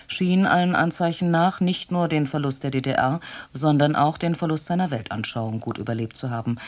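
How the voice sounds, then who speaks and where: A performer of unclear sex playing a sober narrator who recounts a murder case in a measured, factual, report-like way. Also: medium pitch, close to an everyday voice. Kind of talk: scripted radio play narration, report-like.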